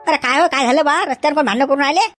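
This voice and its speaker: A shrill, nosy young passerby, put on by a man, firing off questions at a racing clip. His voice is far from the mic, with music in the background. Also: a bit bright. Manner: prying, rapid-fire questioning. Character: nosy young male passerby, prying busybody.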